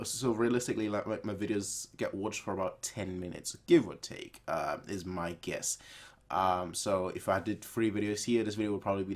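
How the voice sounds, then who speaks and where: A young man hosting a reaction video, chatting casually to viewers in a rambling, playful way. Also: fairly low pitch. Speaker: host of a reaction video channel.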